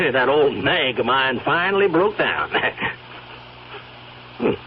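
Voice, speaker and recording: An actor with a rural American accent playing a sly, insinuating blackmailer in a radio drama, all folksy chumminess over quiet menace. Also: male voice, medium pitch, very animated, slow pace. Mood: chummy, sly. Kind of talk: old-time radio drama acting. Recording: dull, noisy old radio recording.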